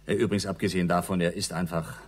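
Deep, husky older doctor. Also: male voice, steady tone, very fast. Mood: resentful. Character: doctor, frank, dryly resentful male card-player. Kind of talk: naturalistic radio crime drama acting.

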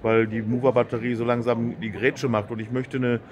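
A man's voice: mid-range voice, level tone, a little fast, dull audio, crowd noise. Chatty male trade fair interviewer. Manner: chatty, friendly, many agreeing "ja". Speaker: host. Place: exhibition hall.

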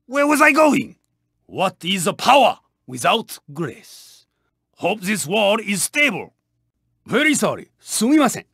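A proud Japanese-accented race car from a video game. The delivery is slow, formal and clipped, swinging dramatically between low and high pitch. The voice is husky and intense. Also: male voice, wildly swooping pitch, fairly bright tone, no room sound, clean studio sound, full character voice. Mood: stern, indignant. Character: proud, intense, honour-bound male Japanese racing car. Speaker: video game character voice actor. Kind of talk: video game voice clips, short barks.

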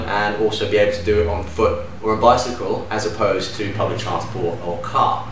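A man in his thirties from Denmark, a DJ and producer, answering interview questions to camera in a relaxed, enthusiastic way with lively hand gestures. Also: lowish voice. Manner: relaxed, enthusiastic, gestures with hands while talking. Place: living room at home, indoors. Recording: echoey room, distant mic, faint hum.

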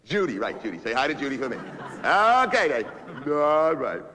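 Actor as a volatile, animated office boss. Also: male voice, medium pitch, moderate pace. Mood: placating. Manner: repetitive, badgering, swinging between ranting and smooth-talking. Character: volatile, manipulative, foul-mouthed male office boss on phone calls.

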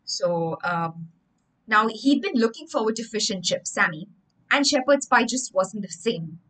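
A young woman hosts a livestream story lesson for children. Her voice sits fairly high and sounds very bright and crisp-edged. She speaks with theatrical emphasis and stops to spell out the meaning of words. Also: animated, a little slow, low-quality recording. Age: young adult. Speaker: children's English teacher and storyteller. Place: indoor room with bookshelves.

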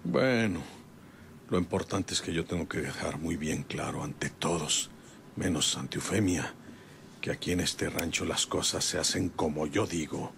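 A gruff, domineering Mexican rancher of middle age in a radio soap. The voice is very low and gravelly, moving slowly and ponderously. There is faint noise behind it. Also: male voice, fairly bright tone, heavily acted. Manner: folksy, ponderous, brooding. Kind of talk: radionovela acting, rural soliloquy.

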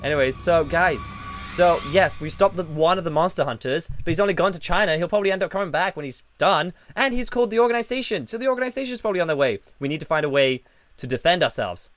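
A young man voicing a hotel owner in an improvised game roleplay, excitable and quick to exclaim. His slightly high voice swings up and down all over the place. Faint music plays behind him.

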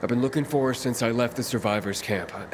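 A man's voice: medium pitch, fast, lightly acted. Earnest young actor as a male wanderer, noisy backdrop. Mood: guarded, urgent. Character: guarded, determined young male pilgrim searching for someone. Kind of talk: video game dialogue acting.

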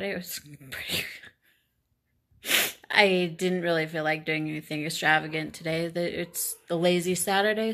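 A young woman talks casually to her viewers at a medium pitch. She is informal and rambles from thought to thought. It sounds like a phone held at arm's length indoors. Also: fairly bright tone. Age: young adult. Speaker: vlogger. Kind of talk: casual chat to camera. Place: small living room, indoors.

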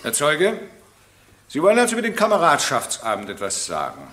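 An actor playing a stern, formal presiding judge in a radio crime drama, speaking with authority. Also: male voice, a little high, huge pitch swings, brisk, fairly bright tone. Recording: roomy, echoing courtroom sound.

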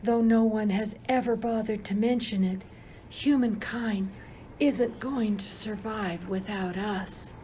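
A woman playing a solemn spirit of hope in a radio play, speaking in a measured, deliberate way. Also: medium pitch, steady tone, warm tone, natural voice. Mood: earnest. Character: Hope, solemn female spirit consoling humankind. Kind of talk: amateur radio play acting. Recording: slightly noisy amateur recording.

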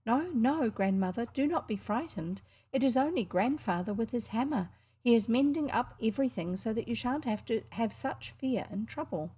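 A woman's voice: fairly high pitch, lively intonation, normal speed, mellow, slightly rough, pitched above the performer's own voice. A woman voicing an eager, trusting little girl who pleads earnestly. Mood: soothing.

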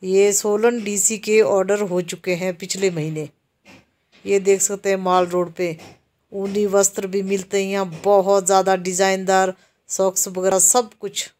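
A woman from Himachal Pradesh narrating a casual walking tour of a busy outdoor market, explaining the shops to viewers as she films while walking. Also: mid-range voice. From Himachal Pradesh, India. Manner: slow, even-toned, explaining to viewers. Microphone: handheld camera while walking.

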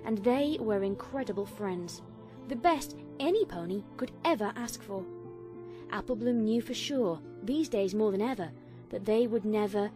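A woman reading a scripted story as a dramatic fan fiction narrator. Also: fairly high pitch.

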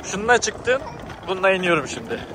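A man's voice: high-pitched, very animated, quick pace, very noisy. A man, chatting casually and thinking aloud in slangy, informal speech as a travel vlogger. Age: 20s. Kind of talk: casual spontaneous vlog chat. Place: outdoors on mountainside, some in cable car. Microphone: handheld camera at arm's length.

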